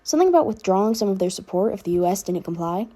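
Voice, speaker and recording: Sardonic, affectionate big-brother teen boy, female reader, casual rambling delivery, soft dark tone, slightly raspy. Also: medium pitch, lively intonation, rapid-fire, close to an everyday voice. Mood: earnest, teasing. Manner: casual, rambling teen talk with filler words. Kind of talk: fan fiction audiobook character dialogue.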